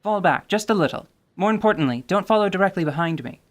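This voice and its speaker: A woman playing a calm, authoritative tiefling warrior woman with a measured, dry delivery. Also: mid-range voice, natural voice. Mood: commanding. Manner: cool, measured and dryly authoritative. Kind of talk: radio drama acting.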